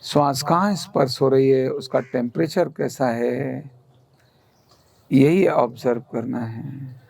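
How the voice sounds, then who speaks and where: A man leading a guided relaxation meditation in a calm, soothing, instructional manner. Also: mid-range voice, takes it slowly, creaky, breathy edge. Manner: calm, soothing, instructional, with gentle repeated commands.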